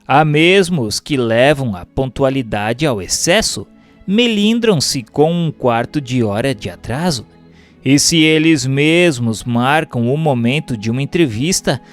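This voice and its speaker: A man with a Brazilian accent reading a doctrinal text aloud as a narrator, in a formal, measured, didactic way. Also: mid-range voice, animated, soft background music. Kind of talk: scripted audiobook-style narration of a doctrinal text.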